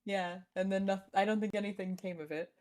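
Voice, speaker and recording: A woman's voice: medium pitch, fairly even intonation, fairly quick, darkish tone. A woman talking casually as a co-host, reacting to videos with others.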